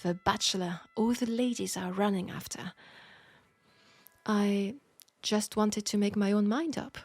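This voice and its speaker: A woman playing a flirtatious, caring Parisian seamstress in an intimate steampunk audio roleplay, speaking with a French accent and a soft, hesitant delivery. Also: medium pitch, a little slow, no echo. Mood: playful, teasing. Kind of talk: intimate scripted audio roleplay addressed to the listener.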